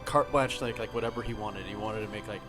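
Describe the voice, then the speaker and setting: A man's voice: fairly low pitch, steady tone, fast talker, over music. A man hosting a casual behind-the-scenes group chat, talking in a rambling, explanatory way. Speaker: host of a radio-drama group.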